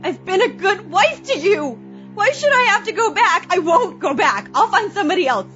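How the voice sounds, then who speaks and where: A woman's voice: very high voice, very animated, moderate pace, pushed and bright, dull audio, faint music behind, full character voice. A woman playing a desperate, bitter wife in a horror audio drama. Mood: bitter anger. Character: desperate, bitter wife pleading not to be sent back.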